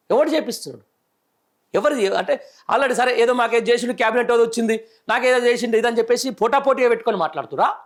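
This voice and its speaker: A man's voice: extremely high pitch, fairly bright tone. A middle-aged man with a Telangana accent, hosting live political news commentary with emphatic, indignant rhetorical questioning. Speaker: news show host, journalist.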